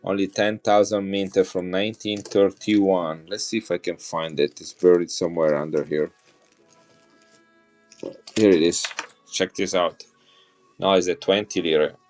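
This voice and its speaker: A middle-aged man with an Italian accent and a fairly low voice talks to his live-stream viewers in a chatty, enthusiastic way. Faint music plays behind him. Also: fairly even intonation, a little slow, pauses often, a little mellow. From Italy. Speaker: coin seller on a live stream. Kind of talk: casual live-selling chat.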